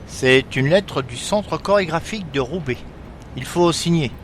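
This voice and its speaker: A man's voice: on the high side, expressive, measured pace. A man playing a polite postman in an audio drama. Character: polite male postman delivering a letter. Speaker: actor in an amateur audio fiction. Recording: faint outdoor street noise behind.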